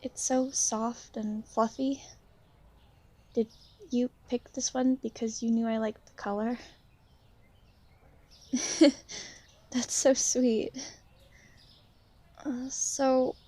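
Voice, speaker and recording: A woman playing a shy, gentle young vampire in an ASMR roleplay, speaking softly and hesitantly to the listener. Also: on the high side. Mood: shy, fond, then bashful, curious. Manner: hesitant, soft-spoken, gently halting. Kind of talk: ASMR roleplay, soft intimate talk to the listener.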